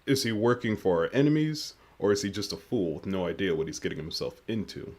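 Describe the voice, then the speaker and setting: A man playing a guarded, masked mission commander in an audio drama, speaking in a formal, measured, commanding way. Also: deep voice, a little mellow. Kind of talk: scripted audio drama acting.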